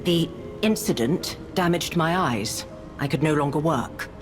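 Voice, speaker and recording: An imperious, obsessive artist from a video game. Her voice is low and grave, each phrase deliberately placed, with clearly audible music behind her. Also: animated. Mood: solemn, reverent. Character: haughty, obsessive blind artist, former scientist.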